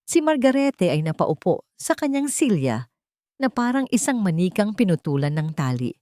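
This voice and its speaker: A woman narrating a scripted romantic drama audiobook in a measured, dramatic storytelling manner. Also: mid-range voice, big pitch swings, dark tone, dry recording, studio quality.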